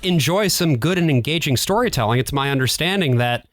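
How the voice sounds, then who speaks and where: A man's voice: a little high, expressive, fairly quick, a bit bright, no room sound, clean studio sound. A man co-hosting a podcast in a casual, joking conversational style. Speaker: podcast co-host.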